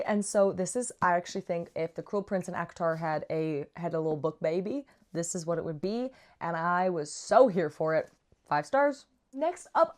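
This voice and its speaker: A woman's voice: medium pitch, a little mellow. A woman giving a casual, chatty book review with slangy asides and playful, dramatic emphasis. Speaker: book reviewer, vlog host.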